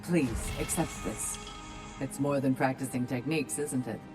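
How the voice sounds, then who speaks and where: A woman playing an earnest, friendly visitor in a video game, speaking in an unhurried, thoughtful way. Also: rumbling low, over music. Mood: friendly, curious. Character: earnest, friendly visitor offering gifts and stories.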